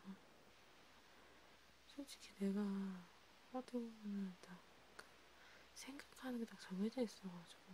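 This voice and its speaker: A woman speaking in a hushed, rambling ASMR style, half whispering, as if chatting one-to-one with a listener. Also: medium pitch, measured pace, pauses often, slight background noise, poor audio. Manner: hushed, rambling, intimate one-sided conversation. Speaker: ASMR creator. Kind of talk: soft ASMR roleplay chat, partly whispered.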